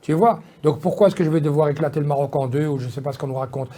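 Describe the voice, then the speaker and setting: A man's voice: a little high, fast talker, mellow. A man, talking casually in an interview, rambling with many fillers and restarts. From Belgium. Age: 50s. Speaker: politician guest.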